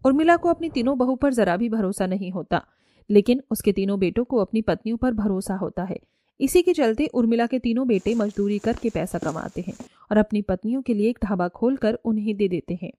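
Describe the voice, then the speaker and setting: A woman's voice: medium pitch, lively intonation, a little fast, warm tone, no room sound. A woman narrating a scripted story as its narrator.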